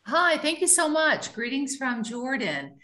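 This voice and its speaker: A woman from California joining a video call, chatting casually and warmly with fillers. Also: high voice, very animated, measured pace. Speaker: guest caller. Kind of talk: casual chat.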